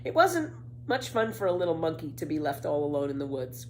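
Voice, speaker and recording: A woman reading a children's story aloud with dramatic, emphatic, expressive narration. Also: sits low, brisk, poor audio. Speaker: storybook reader. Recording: faint background noise.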